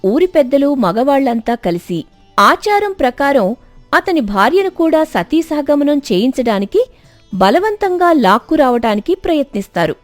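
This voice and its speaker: A woman narrating a scripted moral story dramatically, with expressive, emphatic delivery. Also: high voice, normal speed, dry recording, soft background music.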